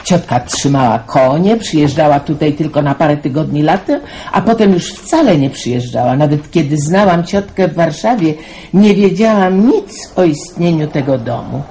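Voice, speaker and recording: A woman's voice: low-pitched, animated, moderate pace, mellow. A woman acting a kindly, plainspoken older aunt in a Polish radio drama. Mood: reminiscing. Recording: noisy 1990s radio play recording.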